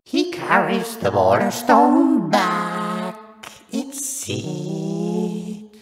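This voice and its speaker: A man voicing mocking gremlin-like imps in an audio drama folktale, in a shrill, horrible sing-song. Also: big pitch swings, exaggerated voice. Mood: gleeful taunting. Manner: slow taunting sing-song. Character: gleeful, mocking gremlin-like border guardian imps. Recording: reverberant hall, loud music.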